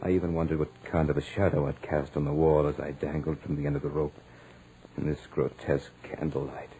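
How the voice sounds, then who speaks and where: A deep-voiced doomed man recounts his terror in a haunted, deliberate way. It is old 1940s radio horror drama, dramatic and grave, with music clearly heard behind him. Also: flat delivery, warm tone, rough recording. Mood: resigned horror. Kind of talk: 1940s radio horror acting, first-person narration.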